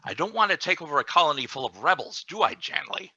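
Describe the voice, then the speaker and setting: A man playing an ambitious, calculating deputy governor, emphatic and deliberate, in a radio play reading. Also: fairly high pitch, very animated, sharp tone, breathy edge, no room sound, highs cut off, full character voice. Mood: scheming, commanding.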